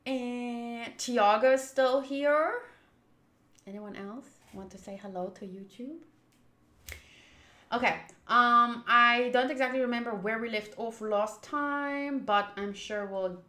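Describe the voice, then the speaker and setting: A woman's voice: on the high side, steady tone, measured pace, pushed and bright, roomy sound. A woman hosting a game live stream, chatting casually in a playful, teasing and informal way. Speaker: live streamer hosting a visual novel playthrough. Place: indoors, home room.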